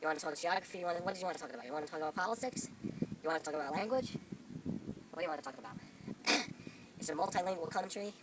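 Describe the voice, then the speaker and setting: A man's voice: high-pitched, rapid-fire, pauses often, far from the mic, heavy background noise. A man voicing a boastful male Mexican kingpin in a put-on Mexican accent, rambling and firing off insistent rhetorical questions. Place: outdoors among trees.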